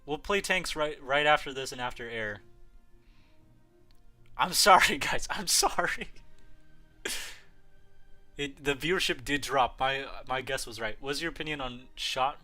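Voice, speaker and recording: A man's voice: medium pitch, unhurried, pauses often, bright, forceful tone, faint music behind. A young man hosting a live game stream, chatting casually and answering chat aloud, often laughing.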